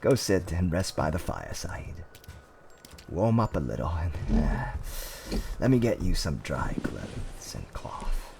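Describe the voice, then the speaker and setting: A man playing a gentle, courteous tavern keeper in a fantasy roleplay, with soothing, old-fashioned politeness and theatrical hums and pauses. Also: deep voice, big pitch swings, vocal fry, noisy background, voice pushed down, full character voice. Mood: concerned, soothing. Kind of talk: roleplay ASMR character acting.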